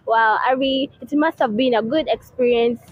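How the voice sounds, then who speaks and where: A woman's voice: a little high, normal speed. A young woman from Uganda hosting her own channel, interviewing a guest with enthusiastic, frequent "wow"s. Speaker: YouTube host, interviewer. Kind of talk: casual vlog interview. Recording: dull lo-fi sound, faint music.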